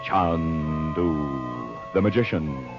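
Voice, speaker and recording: A man's voice: extremely low pitch, extremely slow. A man announcing and reading a sponsor's advertisement on old-time radio in an emphatic, salesmanlike way. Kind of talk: 1940s radio advertising read. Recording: muffled old radio recording with music.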